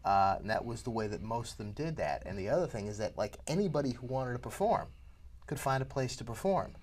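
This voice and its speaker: A man's voice: medium pitch, big pitch swings, brisk, a little mellow. A man in a theatre company, explaining things conversationally in a casual interview.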